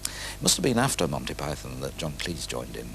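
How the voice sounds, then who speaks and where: A male documentary narrator speaking in a fond, measured way. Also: a bass voice, sing-song, gravelly, a bit bright, a little hiss or hum.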